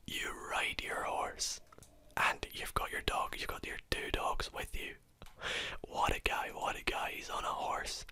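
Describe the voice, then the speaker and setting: A host whispering close to the mic while playing a map-guessing game, softly thinking aloud. The delivery is unhurried and drawn out. The whisper has a crisp, bright edge. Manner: soft, musing, thinks aloud while exploring. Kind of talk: whispered ASMR gameplay commentary.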